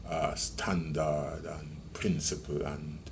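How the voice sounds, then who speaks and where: A man in his sixties with a Jamaican accent, a retiring senior police officer recalling his rural upbringing in a measured, reminiscing interview. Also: very deep voice, completely flat delivery, vocal fry, background noise. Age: 60s. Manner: measured, reminiscing, with many ums and restarts. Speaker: retiring police deputy commissioner. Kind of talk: reflective interview storytelling.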